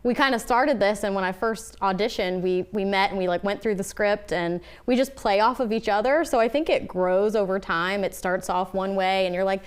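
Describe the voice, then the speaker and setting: A woman answering casually as an interview guest, telling stories in an animated way with little quoted asides. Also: medium pitch, moderate pace, few pauses, clear, resonant tone. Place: TV news studio.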